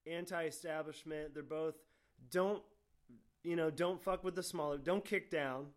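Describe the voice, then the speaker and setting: A man's voice: a little high, steady tone, measured pace. A man who grew up in Florida, hosting a casual conversation, loose and chatty, full of "like" and the odd swear word. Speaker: podcast host.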